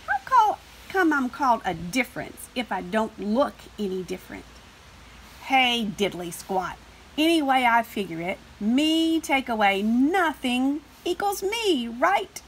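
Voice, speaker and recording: A woman's voice: fairly high pitch, sing-song, unhurried, a little hiss or hum, rough recording. A woman voicing brash, dismissive, impatient cartoon number characters in a storybook reading. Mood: annoyed.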